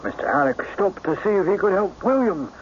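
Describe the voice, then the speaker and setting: An eager, respectful police inspector reports facts in a high-pitched voice. The radio drama sound is dull, with faint hiss. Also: male voice, big pitch swings, a little fast. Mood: reporting. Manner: eager, factual, deferential. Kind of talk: radio mystery drama acting. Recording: muffled, hissy old radio recording.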